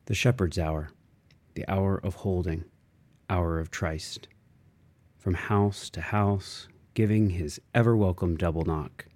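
A man reading a literary novel aloud in a measured, expressive way with dramatic phrasing. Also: low voice. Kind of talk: literary audiobook narration.

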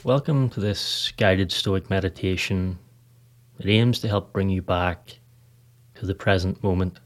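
A man leading a scripted guided meditation in a calm, measured manner, leaving pauses between short phrases. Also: deep voice, soft background music. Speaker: podcast host, meditation guide.

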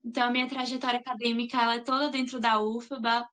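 A woman's voice: a little high, little pitch movement, fast, a bit bright. A young woman from Salvador, answering interview questions in a chatty way with frequent tag questions. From Salvador, Bahia, Brazil. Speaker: guest, master's student.

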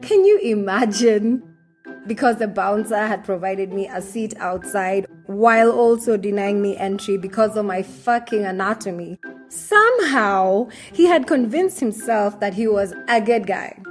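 A woman reads a first-person essay aloud in a measured, indignant manner. Her voice sits at an ordinary middle pitch. Faint music plays softly beneath her reading. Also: animated.

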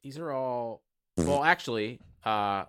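A man's voice: mid-range voice, lively intonation, slow, fairly bright tone, clean tone, no echo, studio quality. A man co-hosting a casual sports podcast, talking in informal, sweary, bro-like slang. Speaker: podcast co-host.

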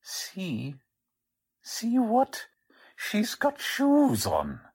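A man playing a blunt, skeptical police sergeant with folksy slangy speech and a husky voice, in 1940s radio drama style. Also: sits high, wildly swooping pitch, drawn-out words, a little mellow, exaggerated voice. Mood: puzzled, challenging. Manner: blunt, folksy working-class cop talk.

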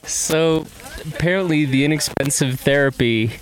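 A man interviewing runners outdoors at a race, asking quick, friendly questions with a joking tone and easy laughs. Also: fairly high pitch, a crowd in the background, poor audio. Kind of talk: casual on-course interview. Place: outdoors at an ultramarathon.

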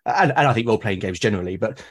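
A man's voice: on the low side, expressive, very fast, husky. A man from Britain, a podcast guest explaining his ideas with enthusiasm and emphasis. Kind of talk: conversational interview.

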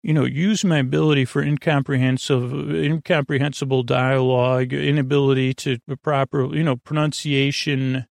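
A man's voice: mid-range voice, flat delivery, moderate pace, vocal fry, few pauses, no room sound, studio quality. A man hosting a bedtime podcast, rambling in a friendly, meandering way, often trailing off and restarting. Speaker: podcast host.

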